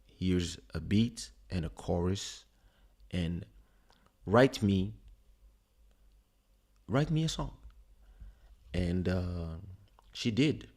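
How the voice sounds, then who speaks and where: A man with a French accent, a native French speaker, hosting a livestream listening party in relaxed, rambling casual chat with many fillers. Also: low voice, extremely slow, long pauses. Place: home streaming setup. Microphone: studio desk microphone.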